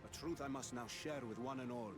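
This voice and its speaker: An earnest, dignified counselor from a fantasy game, voiced by a man. His mid-range voice is formal and stately, as if addressing a nation. Clear music runs underneath. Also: medium pitch, brisk, natural voice. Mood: earnest, pleading. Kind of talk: dramatic video game voice acting. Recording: music behind the voice.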